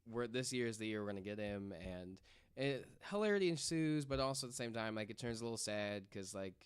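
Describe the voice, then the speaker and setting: Smooth low-voiced man chatting. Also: lively intonation, fairly quick. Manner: informal, loosely worded, swears casually. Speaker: podcast guest host. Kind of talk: casual storytelling chat.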